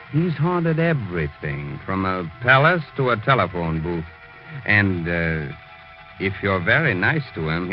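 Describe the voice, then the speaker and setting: A muffled 1940s radio recording with faint music presents a macabre horror host. He speaks in a deep male voice, teasing and tongue-in-cheek, with deliberate hesitations.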